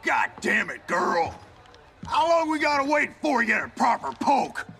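Shrieking, crude outlaw thug. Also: male voice, extremely high pitch, sing-song, moderate pace, creaky, soft background music, exaggerated voice. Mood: rowdy, menacing. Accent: American. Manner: shouting, coarse. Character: crude, rowdy male outlaw thug, menacing and lecherous. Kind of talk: video game western acting.

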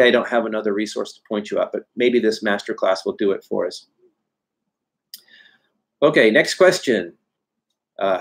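Husky-voiced man chatting on a webcam. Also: medium pitch. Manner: candid, chatty, frequent "uh" and restarts. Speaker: newsletter host answering viewer questions. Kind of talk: live Q&A, casual talk to camera. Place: home study with bookshelves, indoors. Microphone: webcam video call framing.

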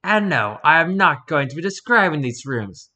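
A man's voice: a little high, sing-song, normal speed, sharp tone. A man reading aloud casually with wry offhand asides, sometimes stumbling over the words as he goes. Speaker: streamer reading and reacting to wiki pages.

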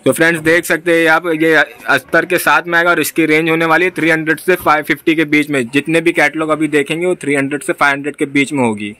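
A man's voice: on the high side, fairly even intonation, quick pace, hardly any pauses, pushed and bright. A man with an Indian accent hosting a shopping vlog, talking to viewers and repeating back what he is told. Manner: repeats the seller's answers back. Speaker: vlog host. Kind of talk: market shopping vlog, interviewing a seller and addressing viewers. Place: small clothing shop, indoors.

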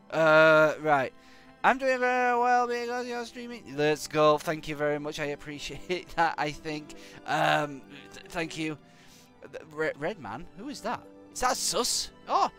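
A young man with a British accent and a croaky voice from hay fever, chatting to viewers in a rambling, jokey way while he plays a game live. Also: high voice, fairly bright tone. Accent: British English. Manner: rambling and jokey, hums nonsense syllables. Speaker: game streamer.

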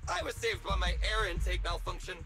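Excitable robot guy, high-pitched. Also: brisk, vocal fry, exaggerated voice. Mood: panicked. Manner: frantic, exclamatory. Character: excitable, panicky male robot. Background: game music.

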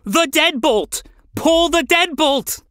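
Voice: high-pitched, huge pitch swings, slow, fairly bright tone, no echo, clean studio sound, pitched above the performer's own voice, full character voice. A narrator voicing an irritable, desperate male bird in an audio story, snappish and exclaiming. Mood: urgent. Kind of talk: audio fiction character voice.

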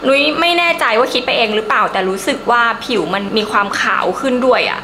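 A woman's voice: fairly high pitch, animated, fast, bright, forceful tone, slight background noise. A young woman gives a casual, enthusiastic review to camera in a chatty, emphatic way. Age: young adult. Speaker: beauty vlogger.

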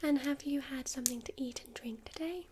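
A woman whispering softly in a gentle, reassuring ASMR medical roleplay, calmly talking a listener through a check-up step by step. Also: high voice, brisk, a little hiss or hum. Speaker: ASMR creator playing a first-aid medic.

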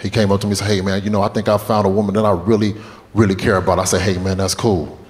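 A man's voice: lowish voice, flat delivery, quick pace, darkish tone. Fields: Sex: man. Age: late 20s. Style: profane stand-up. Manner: loose, profane storytelling with crowd work. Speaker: stand-up comedian. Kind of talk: stand-up comedy set. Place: comedy club stage. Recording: echoey club with long reverb. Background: audience laughter.

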